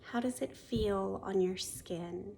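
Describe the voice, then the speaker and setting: Female meditation guide: soft, soothing delivery, very unhurried, with noticeable fry; some ambient noise. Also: medium pitch, faint music behind. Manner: soothing, unhurried, gently instructive. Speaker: yoga and meditation teacher.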